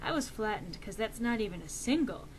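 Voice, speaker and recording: Animated, theatrical woman reading a story. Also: mid-range voice, a little fast. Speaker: reader of a fan fiction. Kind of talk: dramatic comedic story reading. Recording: noisy amateur home recording.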